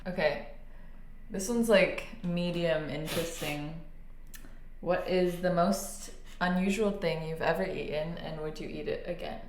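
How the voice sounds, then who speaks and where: A woman's voice: on the low side, unhurried. A young woman co-hosting a casual podcast, teasing and giggly, with lots of "like.". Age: young adult. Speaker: podcast co-host. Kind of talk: casual chat. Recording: echoey room with faint hiss.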